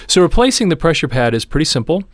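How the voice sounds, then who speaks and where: A man's voice: a little high, sing-song, fast, no room sound, clean studio sound. A man presenting a hands-on repair tutorial, explaining each step in an informal, plain-spoken way. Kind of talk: casual hands-on how-to demonstration.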